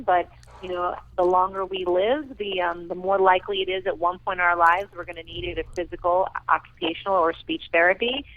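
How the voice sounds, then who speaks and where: An enthusiastic woman speaking with a fairly low voice over a thin, tinny phone line. She talks earnestly, with many ums and small restarts. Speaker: rehabilitation director, guest. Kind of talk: radio interview.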